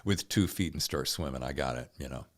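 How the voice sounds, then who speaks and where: A man's voice: very deep voice, brisk. A man hosting a podcast in casual, enthusiastic conversation, often speaking straight to listeners. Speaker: podcast host. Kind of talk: casual interview chat.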